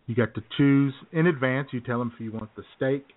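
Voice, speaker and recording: A man's voice: mid-range voice, a little fast. Joking male radio host, thin phone-like sound. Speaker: comedy radio show host. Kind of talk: casual comic banter.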